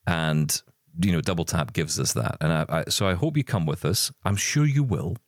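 Low-voiced podcast guy, chatty and rambly, voice bouncing around a lot, dry close sound. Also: animated, normal speed, no room sound. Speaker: podcast co-host. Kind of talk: casual podcast chat.

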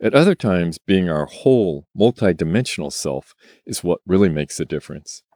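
A man giving measured, scripted narration for an educational video. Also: low-pitched, animated, mellow, no echo, clean studio sound. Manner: measured and explanatory.

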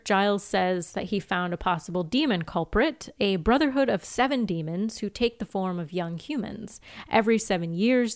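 A woman's voice: mid-range voice, smooth. A woman co-hosting a podcast, informally recapping TV episodes from notes in a casual, giggly way. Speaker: podcast co-host.

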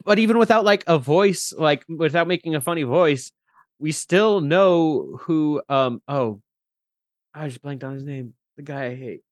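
A man chatting casually as a podcast guest, animated and thinking aloud as he goes. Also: fairly high pitch, unhurried, dry recording, clean studio sound. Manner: animated, thinks aloud about character voices. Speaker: podcast guest, tabletop role-player. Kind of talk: casual chat.

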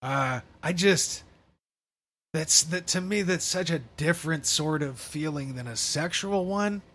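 A man's voice: fairly high pitch, fairly bright tone, slight background noise. Rambling, profane man on a podcast. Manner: profane, rambling, halting restarts. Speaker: podcast co-host. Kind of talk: casual crude podcast chat.

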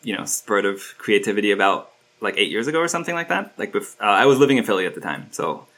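A man from Turkey talking as a guest musician, with a lowish voice that has a bright, forceful edge. He rambles casually through the conversation, full of fillers and restarted phrases. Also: big pitch swings, fast talker. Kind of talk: casual interview chat.

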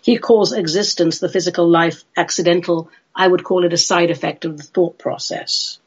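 A woman's voice: on the low side, steady tone, moderate pace, slightly rough, muffled recording. A woman from London with a London English accent hosting a chatty solo radio show in a playful, theatrical, droll manner. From London, England.

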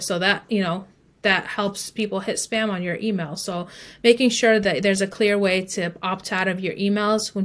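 A woman speaking as an expert guest in a conversational interview, explaining things step by step in an informal way, with plenty of "like" and "you know". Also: medium pitch. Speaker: guest email marketing expert.

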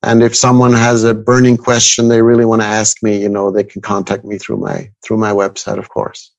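A man in his fifties from Switzerland speaking as an expert guest in an interview, reflective and halting, repeating phrases for emphasis. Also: on the low side, monotone, gravelly, highs cut off. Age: 50s. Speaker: guest expert, astrologer and coach. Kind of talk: interview conversation.

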